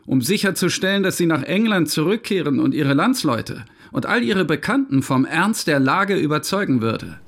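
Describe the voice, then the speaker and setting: A man's voice: on the high side, very animated, moderate pace, vocal fry, few pauses, a bit bright, no echo, full character voice. An actor playing an indignant, jealous male government emissary in a German audio docudrama, agitated and aggrieved. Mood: suspicious.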